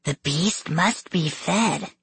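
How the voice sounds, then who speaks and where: Sinister, polite little girl played by a man, audiobook. Whispery, husky, slightly raised pitch, smug. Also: moderate pace, a bit bright, no echo, heavily acted. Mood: chanting. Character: whispery young girl villain, polite, smugly loyal to monstrous parents.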